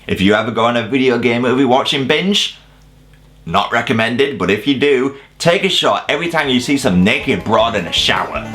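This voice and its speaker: A man's voice: medium pitch, animated, moderate pace, fairly bright tone. A man giving a casual, opinionated scripted video review, emphatic and sweary with self-deprecating humour. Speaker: video reviewer presenting a top ten list.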